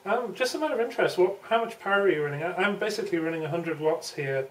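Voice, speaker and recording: A woman's voice: on the low side, lively intonation, quick pace. A woman talks in a casual, conversational way as a ham radio operator. Her voice comes over a shortwave transmission, sounding far off, with a light layer of static. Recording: distant radio link with faint static.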